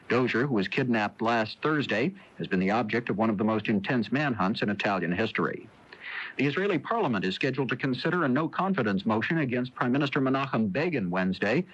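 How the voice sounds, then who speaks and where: A man's voice: medium pitch, fairly bright tone. A man with an American accent reading scripted network news as a correspondent, heard on a 1980s radio recording. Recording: dull lo-fi 1980s radio broadcast tape.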